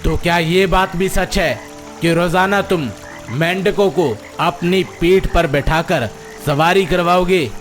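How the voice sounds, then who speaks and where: A man's voice: sits high, slow. An actor voicing a gullible, self-important frog king in a cartoon moral story, with a regal, curious manner. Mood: commanding. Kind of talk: animated Hindi moral story dialogue. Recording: music behind the voice.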